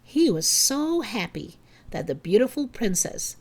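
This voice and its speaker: A woman narrating a scripted children's story in an expressive, measured way. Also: a little high.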